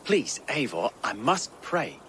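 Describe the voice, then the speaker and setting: A man's voice: medium pitch, sing-song, takes it slowly, sharp tone, slight background noise, full character voice. An actor playing a dour, pious young Saxon man with a dry, formal, sardonic manner in a game cutscene. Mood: pious, weary. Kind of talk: video game cutscene acting.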